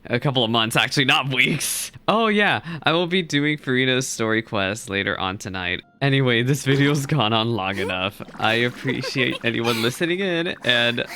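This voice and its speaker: A man's voice: mid-range voice, expressive, normal speed, few pauses, sharp tone, clear, resonant tone. A young man hosting a gaming reaction video with informal, rambling commentary.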